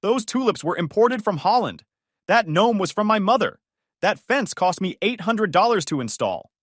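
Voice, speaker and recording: A woman's voice: sing-song, moderate pace, breathy edge, no room sound, studio quality. A man voicing a furious middle-aged woman neighbor who rants indignantly over her ruined garden. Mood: outraged. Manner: shrill, indignant ranting.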